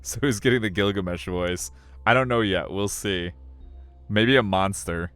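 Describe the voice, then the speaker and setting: A man's voice: lowish voice, normal speed, fairly bright tone, smooth, dry recording. A man narrating a visual novel on a live stream, with chatty, joking commentary and game music behind him.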